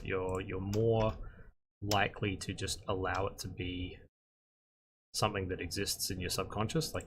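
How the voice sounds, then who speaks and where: Low gravelly monotone man. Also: noisy background. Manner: monotone, rambling with many fillers. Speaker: concept artist and art instructor. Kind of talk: casual chat while working on a livestream.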